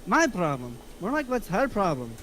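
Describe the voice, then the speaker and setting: A man's voice: high-pitched, huge pitch swings, moderate pace, a bit bright, heavily acted. A man playing a jittery, cranky crook who complains petulantly in a script table read. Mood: indignant. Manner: whiny, indignant, sweary. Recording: faint background noise.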